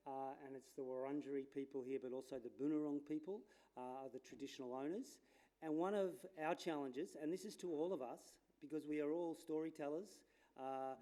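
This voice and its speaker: Aussie guy presenting at a conference, loud voice, lots of ums, easygoing. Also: a little high. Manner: chatty, self-deprecating, with fillers; says his voice is loud. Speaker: landscape architect giving a talk. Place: lecture room at a conference.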